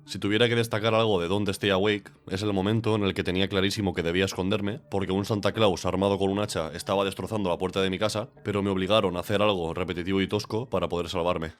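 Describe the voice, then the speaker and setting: Deep-voiced guy, stuffy nose from a cold, quick scripted voiceover with dry jokes. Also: fairly even intonation. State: congested from a cold. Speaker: game reviewer, channel host. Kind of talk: scripted voice-over review.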